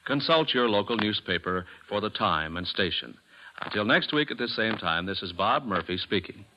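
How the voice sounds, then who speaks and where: A man's voice: fairly low pitch, expressive, normal speed. An American man reading a scripted announcement in 1950s radio style. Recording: muffled, faintly noisy old radio recording.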